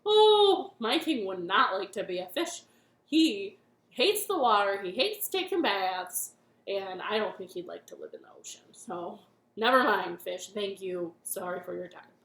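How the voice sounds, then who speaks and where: A woman voicing a flustered male monster chef who rambles loudly and fretfully, in a roomy echo. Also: medium pitch, sing-song, a little slow, pitched below the performer's own voice.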